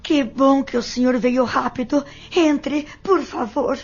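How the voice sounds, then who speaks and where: A woman's voice: high-pitched, slow, soft background music. A Brazilian woman playing a caring, anxious mother in an audio drama, polite and tender in her concern. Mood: urgent, polite. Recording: dull lo-fi audio.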